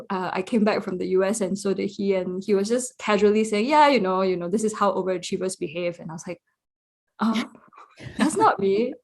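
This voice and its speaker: A Buddhist nun from Singapore, probably in her thirties, talking reflectively and laughing at herself on a podcast. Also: medium pitch. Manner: reflective, self-deprecating, laughs often. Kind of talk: casual podcast conversation.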